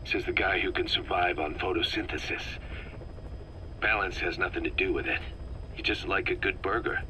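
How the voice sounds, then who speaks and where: A man's voice: low-pitched, fairly even intonation, moderate pace, close to an everyday voice. An actor playing a wry, businesslike military man with an exasperated edge and casual phrasing, in a video game. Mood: amused, confident. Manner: casual, wry, hesitant asides. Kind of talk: scripted video game drama. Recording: faint background noise.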